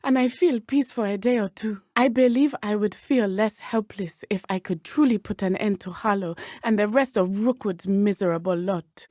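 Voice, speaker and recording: An earnest, loyal teenage witch played by a woman from a fantasy video game. She speaks with a southern African accent at a middling pitch, choosing formal, careful words. The recording is dry and close, with no room sound. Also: mellow, no room sound, natural voice. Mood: sorrowful, reflective. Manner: formal, precise phrasing without contractions. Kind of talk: video game character dialogue.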